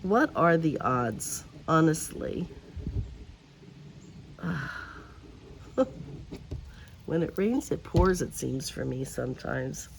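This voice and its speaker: A woman hosting a crafting video outdoors. She talks in a quiet, subdued way, drifts into mutters and whispers as she works, and her voice is lower and deeper than usual. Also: expressive, slow pace, gravelly, long pauses, slight background noise, poor audio. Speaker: host of a paper craft channel. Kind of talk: casual crafting chat while working. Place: outdoors, in a breeze.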